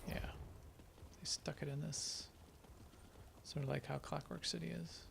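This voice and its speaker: A man's voice: a little high, little pitch movement, slow pace, vocal fry, background noise. A man live-streaming an online game, chatting casually and answering viewers' questions as he plays.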